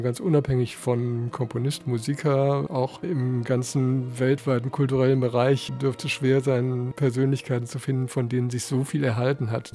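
A man's voice: medium pitch, dark tone. A middle-aged man giving interview answers as the director of a museum.

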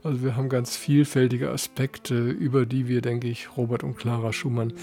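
Mellow-voiced male museum director. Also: mid-range voice, little pitch movement, moderate pace, vocal fry, soft background music. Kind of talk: interview answers.

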